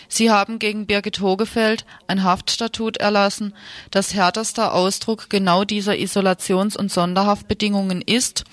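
A woman reads a prepared statement for radio news. Her pitch is average for a woman, and the studio recording is dry, without room sound. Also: fairly bright tone, dry recording. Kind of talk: reading a written statement aloud.

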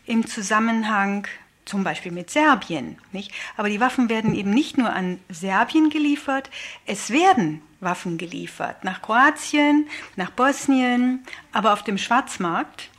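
A woman's voice: medium pitch, a bit bright. Woman guest on radio explaining politics, mid voice, earnest and kinda argumentative. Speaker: interview guest, aid convoy volunteer. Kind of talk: studio interview, explaining political views. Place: radio studio.

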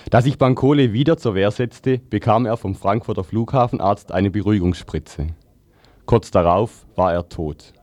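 A man's voice: on the low side, a little mellow, no echo. A man reading scripted news on the radio as a newsreader. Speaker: news reader.

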